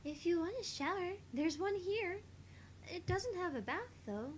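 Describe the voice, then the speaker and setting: A very high-pitched young woman played by an amateur actress for a visual novel, gentle and helpful. Her soft voice moves up and down in a lively way, over faint noise. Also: a little slow. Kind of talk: visual novel character voice acting.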